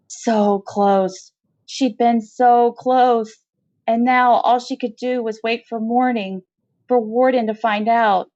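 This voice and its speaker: A woman reading a short story aloud as expressive scripted narration. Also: mid-range voice, dull audio. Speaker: story reader.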